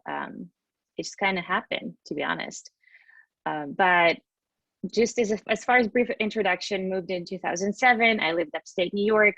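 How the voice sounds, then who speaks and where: A woman's voice: medium pitch, a bit bright, no room sound. A woman in her thirties with a Russian accent, telling her story casually and expressively as a podcast guest, in a chatty way. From Western Siberia, Russia.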